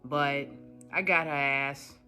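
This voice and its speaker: A woman's voice: deep voice, fairly even intonation, slow, sharp tone, soft background music. A woman telling her complaint in a casual monologue, indignant and repetitive, hammering her points. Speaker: customer telling her story.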